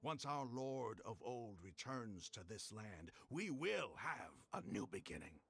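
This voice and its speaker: An actor playing a smug, scheming male cult leader in a game, gloating and condescending with a gravelly voice. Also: fairly low pitch, sing-song, unhurried, fairly bright tone, soft background music, heavily acted. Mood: zealous. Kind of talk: game voice acting.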